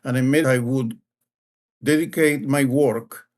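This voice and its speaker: Argentine guy, spanish accent, telling his story real slow with pauses. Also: medium pitch, fairly even intonation. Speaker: guest, leadership advisor. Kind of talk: personal story in an interview.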